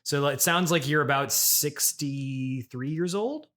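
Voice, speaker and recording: A man hosting an improvised comedy interview, deadpan and probing, with a hesitant way of putting his questions. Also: on the high side, measured pace. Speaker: podcast host, interviewer.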